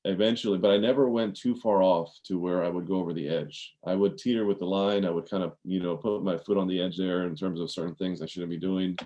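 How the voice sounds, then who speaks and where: A man's voice: sits low, fairly even intonation, fast talker, few pauses, mellow. A man in his thirties from Maryland, a podcast guest telling his life story earnestly, with many fillers and restarts. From Maryland, USA. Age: 30s. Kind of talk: casual interview storytelling.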